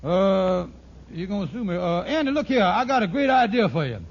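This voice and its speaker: An actor playing a scheming, fast-talking lodge boss in a 1940s radio sitcom, folksy and ungrammatical, with a hesitant, wheedling manner. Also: male voice, sits high, lively intonation, gravelly, exaggerated voice. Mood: sly, wheedling. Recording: muffled, noisy old radio recording.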